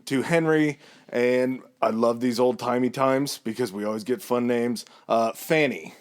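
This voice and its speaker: A man's voice: medium pitch, measured pace. Male podcast co-host, casual storyteller, rambling and good-humored. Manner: chatty, rambling, laughs easily.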